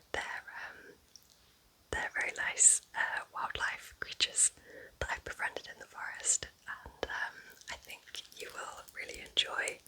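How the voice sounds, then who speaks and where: A woman playing a kind, cosy forest witch in a whispered ASMR roleplay, breathy, gentle and chatty. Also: rough recording. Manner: gentle, soothing, chatty, affectionate.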